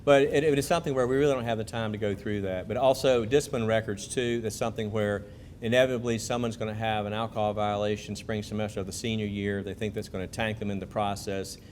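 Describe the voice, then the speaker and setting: Man speaking to parents, admissions officer, conversational, asks the crowd questions, faint rumble. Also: fairly even intonation, fast, a little mellow. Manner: conversational, rhetorical questions to the audience. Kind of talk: presentation to parents with Q&A. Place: school event hall.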